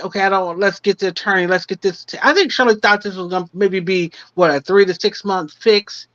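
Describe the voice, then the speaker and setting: A woman's voice: fairly low pitch, fairly bright tone, dry recording. Muffled woman in casual panel chat. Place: remote livestream call, dry sound. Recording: muffled lo-fi livestream audio.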